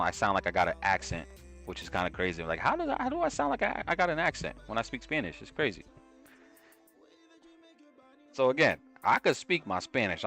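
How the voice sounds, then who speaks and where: A young man with a New York accent hosts a live trading stream, talking loosely and full of slang. His voice sits fairly low, and faint music plays behind him. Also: a bit bright. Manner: slangy and conversational, often tags "right?". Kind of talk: casual live-stream chat with viewers.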